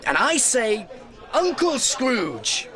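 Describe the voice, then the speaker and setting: High, swooping, jovial gentleman played by a man. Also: wildly swooping pitch, extremely slow, over a noisy crowd, exaggerated voice. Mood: merry, hearty. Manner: jovial, chuckling, theatrical. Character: cheerful, good-natured gentleman playing party host. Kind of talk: radio play acting.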